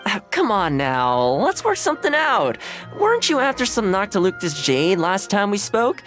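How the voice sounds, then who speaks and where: A man's voice: high voice, very animated, normal speed, a bit bright, faint music behind. An actor playing a friendly, helpful young man in a video game, upbeat and conversational. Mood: coaxing.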